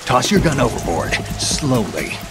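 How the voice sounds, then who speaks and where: An actor playing a gruff, guarded American military infiltration agent in a video game, terse and commanding, with a low, gravelly voice. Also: male voice, very animated, a little slow. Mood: stern, commanding. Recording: loud music and rain behind.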